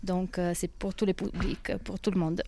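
A woman's voice: on the low side, flat delivery, moderate pace, warm tone, no room sound. A woman from Italy with an Italian accent, a shop owner answering interview questions cheerfully and laughing often.

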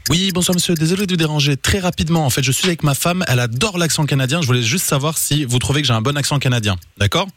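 A man's voice: a little high, racing, few pauses, fairly bright tone. A man on a radio show making a playful, fake-polite prank call, with a French accent. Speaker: radio co-host.

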